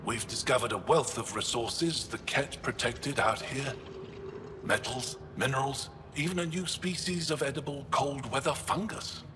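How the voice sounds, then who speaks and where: An actor playing an upbeat alien male lead researcher who talks in a brisk, matter-of-fact way. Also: mid-range voice, background noise, natural voice. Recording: echoey game audio with ambience.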